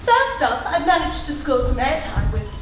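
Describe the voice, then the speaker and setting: A woman acting a chirpy TV reporter who presents a superhero documentary, projected and deliberate in a comic stage style. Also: sits high, sing-song. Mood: bright, presenting. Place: live stage performance in a hall. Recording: very echoey hall, distant mic, audience noise.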